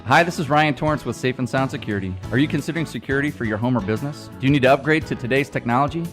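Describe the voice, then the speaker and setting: A man with a medium-pitched voice gives a scripted radio ad as a company spokesman. Faint guitar music sits behind him. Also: rapid-fire. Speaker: business spokesman in an ad.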